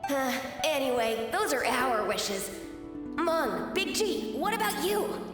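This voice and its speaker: A woman plays an eager little boy for a video game. The voice is tiny and very high, set far off in a reverberant hall with music behind. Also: lively intonation, takes it slowly. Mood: sheepish. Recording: echoey hall, distant, reverberant.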